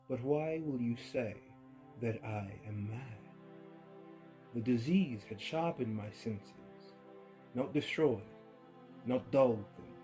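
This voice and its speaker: A man reads a horror tale slowly and deliberately, in a dark, mellow voice. He swings from near-whispers to sudden loud outbursts, with an unhinged edge. Faint violin music plays behind him. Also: medium pitch. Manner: unhinged, with creepy laughs. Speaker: narrator. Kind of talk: dramatic horror story reading.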